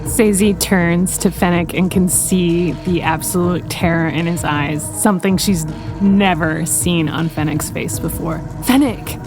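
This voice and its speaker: Lower-pitched woman in dramatic game play. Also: fairly even intonation, measured pace, music in the background, low-quality recording. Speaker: tabletop game player. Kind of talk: dramatic character narration and acting.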